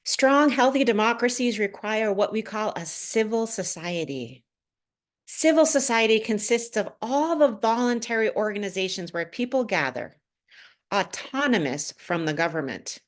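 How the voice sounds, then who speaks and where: A woman minister delivering a prepared sermon to a congregation, phrasing deliberately and pausing for emphasis. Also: mid-range voice, lively intonation.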